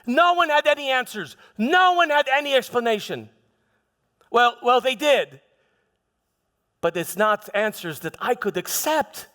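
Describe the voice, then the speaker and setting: Man acting a troubled, halting Roman governor, reverberant hall. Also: very high voice, expressive, bright, forceful tone, exaggerated voice. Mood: agitated, indignant. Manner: halting, stammering, self-justifying, emphatic. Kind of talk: theatrical church monologue, first-person dramatization. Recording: long reverberant echo of a hall.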